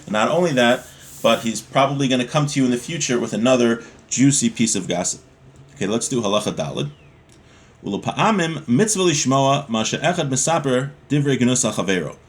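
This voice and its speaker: A man teaching from a religious law book, reading each passage in Hebrew and then explaining it in an easygoing, conversational way. His voice sits at an ordinary middle pitch for a man. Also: animated, brisk. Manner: reads Hebrew aloud then translates in casual speech. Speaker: Torah teacher.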